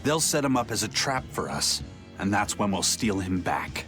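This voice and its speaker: An actor playing a stoic, steady pirate in a game, measured and resolute. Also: male voice, on the low side. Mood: stoic resolve. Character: stoic, steady male pirate first mate. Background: music.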